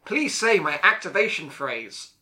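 A man playing a deadpan robot sent from the future to join a podcast. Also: fairly high pitch, unhurried, full character voice. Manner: flat deadpan delivery.

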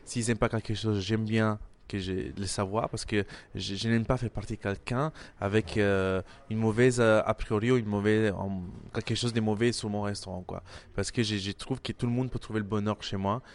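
Young chef speaking French, low voice. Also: male voice, steady tone, normal speed. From Italy, grew up in Milan. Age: 20s. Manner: hesitant, many fillers and restarts. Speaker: chef and restaurant owner. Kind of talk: casual interview answers.

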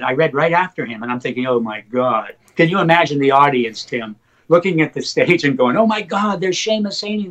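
An older man whose first language is Slovak, telling rambling stories as a poet being interviewed and getting animated in the anecdotes. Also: fairly high pitch. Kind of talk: conversational storytelling interview.

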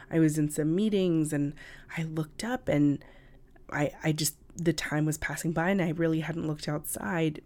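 Female podcast host, deep register, unhurried delivery; raspy, hoarse with a cold, conversational and halting. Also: darkish tone. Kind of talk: casual solo podcast talk. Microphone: cough drops in mouth, podcast recording.

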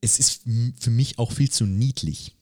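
A man's voice: mid-range voice, moderate pace, a little mellow, dry recording, studio quality. A man hosting a podcast, explaining things in a casual, rambling, colloquial way. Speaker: podcast host. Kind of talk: casual conversational podcast chat.

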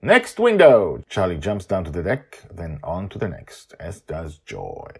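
A man hosting a story podcast and reading his own tale in an animated, emphatic way with short dramatic phrases. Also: low-pitched, a little slow, gravelly, breathy edge.

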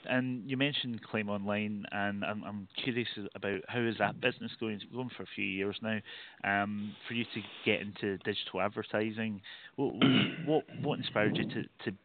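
Male interviewer, polite, halting, fairly low voice, tinny phone-like audio. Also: steady tone, a little hiss or hum. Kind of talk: interview questions.

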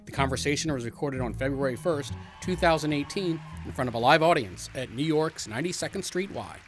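A man's voice: on the high side, expressive. Male announcer reading over music. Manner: smooth, measured read. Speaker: podcast announcer. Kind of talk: scripted introduction. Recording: studio read over music.

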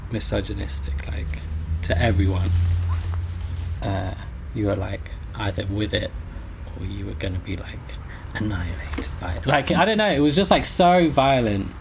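A man talking casually and reflectively in an interview, in a halting, rambling way with lots of filler words. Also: on the low side, vocal fry, noisy background. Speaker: interview guest.

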